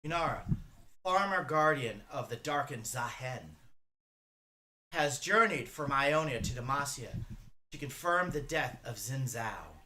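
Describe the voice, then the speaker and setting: A reaction video host giving casual, flippant, animated commentary in an informal way. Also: medium pitch, measured pace, slight background noise, poor audio. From the frozen north.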